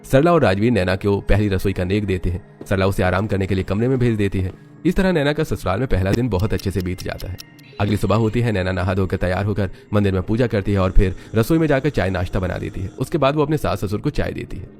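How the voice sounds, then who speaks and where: Brisk, low-voiced male storyteller. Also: a little mellow, soft background music. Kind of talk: scripted third-person story narration.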